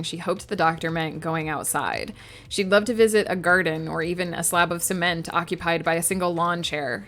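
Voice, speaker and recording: Woman, lowish voice, stuffy and sniffly, reading her own draft on a home stream; restarts stumbled lines, close desk mic. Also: a bit bright. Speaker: author and streamer. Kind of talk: reading her own novel draft aloud, with casual asides. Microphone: close desk microphone.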